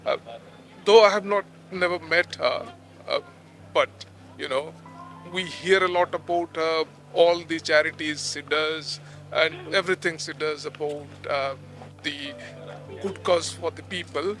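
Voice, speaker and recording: A man speaks haltingly in a street interview, pausing often with uhs. His voice sits slightly high, and faint music plays behind him. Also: steady tone, fairly bright tone. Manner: halting, many fillers. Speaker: member of the public.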